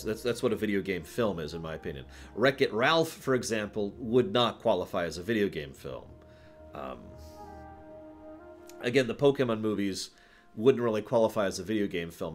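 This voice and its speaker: Man, low voice, lively swooping intonation, casual streamer chat, digressive, faint music behind. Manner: digressive, talks to live chat, emphatic stresses. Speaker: livestream host. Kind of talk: casual rambling stream chat, opinion commentary.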